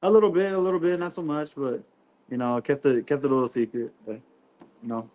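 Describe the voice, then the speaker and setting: A man, a barbecue pitmaster guest, talking in a relaxed, rambling way and saying "you know what I mean" often. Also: mid-range voice, fast talker, low-quality recording. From Texas. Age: 20s. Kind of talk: casual podcast interview.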